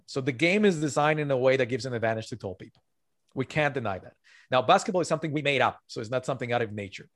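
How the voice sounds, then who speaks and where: A man's voice: medium pitch, no room sound. Earnest man, dry close sound. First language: not English. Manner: earnest, emphatic, repeats key points. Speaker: author guest. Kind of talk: podcast interview.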